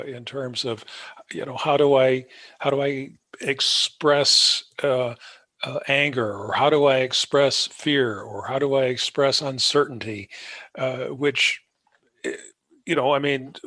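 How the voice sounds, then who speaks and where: A man hosting a conversational podcast interview, phrasing his questions in a halting, reflective way. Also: mid-range voice, fairly bright tone, no room sound. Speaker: podcast interviewer, stage actor.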